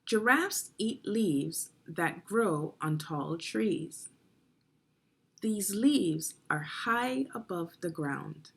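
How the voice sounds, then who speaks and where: A woman's voice: lowish voice, animated, extremely slow, creaky, a bit bright, breathy edge. A woman reading children's nonfiction aloud as a teacher, instructive and putting guiding questions to young listeners. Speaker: read-along narrator and reading teacher.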